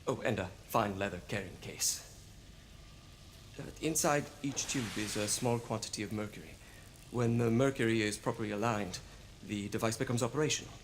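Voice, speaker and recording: A man playing a proud, eccentric Victorian inventor in a video game, speaking politely and precisely with gentle hesitations. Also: lowish voice, moderate pace, slight background noise, lightly acted. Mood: proud, eager. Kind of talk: video game cutscene acting.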